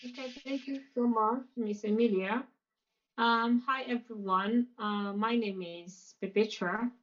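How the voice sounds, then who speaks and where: A woman's voice: a little high, steady tone, a little slow, far from the mic, highs cut off. A woman from Nigeria, a PhD student, politely and a little hesitantly introducing herself as co-moderator on a video call.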